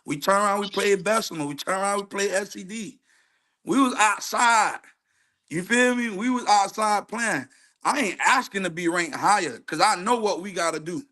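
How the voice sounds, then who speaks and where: A man with a slightly high voice talks as a coach on a casual sports show. He argues emphatically in street slang, hammering points home by repeating phrases. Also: normal speed, sharp tone. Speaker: youth football coach, show guest. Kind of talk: casual, argumentative sports chat.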